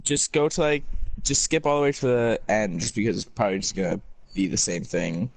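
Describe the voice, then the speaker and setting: A man's voice: lowish voice, animated, fairly quick, gravelly. A man co-hosting in casual chat, his voice muffled over an internet call. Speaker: co-host.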